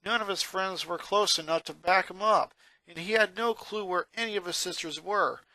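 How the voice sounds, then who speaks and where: A man narrates a story from a script. His voice sits high for a man and has a bright, crisp edge. The sound is dry, with very little room around it. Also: moderate pace, no echo, poor audio. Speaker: fan fiction reader, narrator.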